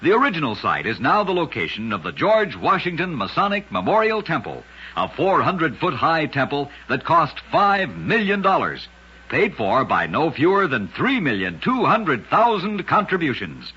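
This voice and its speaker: Man, old-time radio host, very raspy, animated intonation, scripted dramatic storytelling, dull vintage recording. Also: on the high side, moderate pace.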